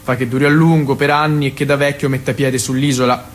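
A man's voice: medium pitch, flat delivery, fast talker, natural voice. An actor playing a pupil's father, insistent yet awkward, in naturalistic audio drama dialogue. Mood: reading aloud.

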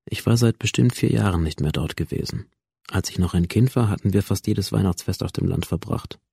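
A withdrawn, bitter young man in a radio drama, telling his story in a low, mellow voice. He is understated and matter-of-fact, with a slightly husky edge and a close, dry sound. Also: a little fast, no echo, exaggerated voice. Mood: brooding, detached. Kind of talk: radio drama, introspective first-person narration.

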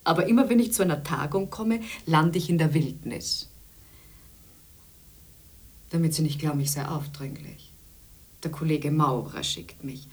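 Actress as talkative female colleague, deep for a woman, wry, complaining, teasing, radio drama. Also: darkish tone, natural voice. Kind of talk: radio play dialogue.

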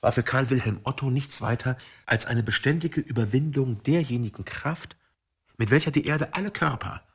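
A scholarly male storyteller narrates an absurd literary radio play in measured phrases. His mid-pitched voice is husky, with plenty of creak.

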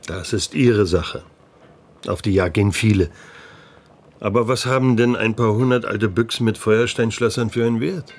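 An actor playing a guarded village headman in a radio drama, speaking deliberately and matter-of-factly. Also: lowish voice, faint music behind. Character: guarded village headman confiding a dangerous secret. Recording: faint ambient noise.

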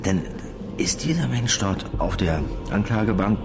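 A man acting a weary police detective in a radio drama, speaking in a terse, probing or halting, reflective way. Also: on the low side, lively intonation, music in the background. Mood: brooding. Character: weary, brooding male police detective, questioning and musing.

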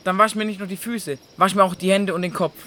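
A man's voice: sits high, steady tone, fast, bright, forceful tone. An actor playing an impulsive, devoted male disciple in a radio drama, speaking urgently and protesting.

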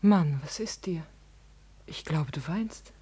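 A husky, fairly low-voiced noblewoman in a classical radio drama. She is proud, passionate and agitated, speaking theatrically with breathy, intense outbursts. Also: lively intonation, slow, warm tone, full character voice. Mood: shaken. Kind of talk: classical German stage drama acted for radio.

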